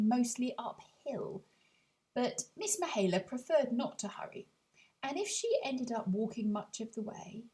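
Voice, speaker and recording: A woman narrating a children's audiobook, telling the story expressively in a measured way with deliberate pauses. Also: on the high side, warm tone, low-quality recording. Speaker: author reading their own audiobook.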